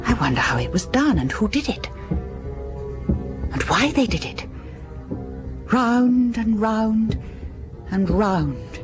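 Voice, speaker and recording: An actress playing an obsessed, unravelling Victorian wife in a gothic audio drama monologue, speaking in a hushed, deliberate way that drifts into whispers. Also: female voice, mid-range voice, big pitch swings, over music. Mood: fixated, uneasy.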